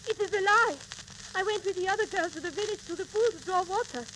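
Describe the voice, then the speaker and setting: A woman's voice: very high-pitched, quick pace. A woman playing a fiery, aggrieved young island wife with impassioned, pleading melodrama, on a crackling 1930s radio disc. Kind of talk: 1930s radio drama acting. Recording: noisy, crackling 1938 transcription disc.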